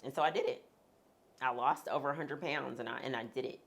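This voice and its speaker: A woman speaking frankly as a guest in a sit-down interview, talking with humorous asides in a deep, husky voice. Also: a little fast. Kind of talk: candid sit-down conversation.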